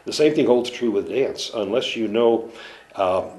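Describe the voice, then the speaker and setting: A man who treats musicians and dancers, giving a measured, earnest, halting explanation in an interview. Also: medium pitch, darkish tone. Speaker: clinician in performing arts medicine.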